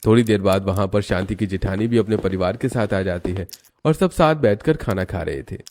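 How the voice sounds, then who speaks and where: A man narrates a cartoon family story from a script. His voice sits fairly low. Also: a little fast, darkish tone.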